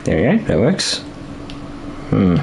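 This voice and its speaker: Hoarse-voiced man streaming a build game. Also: lowish voice, wildly swooping pitch, takes it slowly, noisy background. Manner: rambling, thinking aloud with many restarts and fillers. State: losing his voice. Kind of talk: casual live-stream talk while building.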